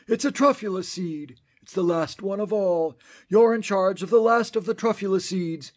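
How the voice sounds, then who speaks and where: A man's voice: high-pitched, moderate pace. A man reading a children's story aloud in rhythmic, theatrical rhyme. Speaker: storybook reader.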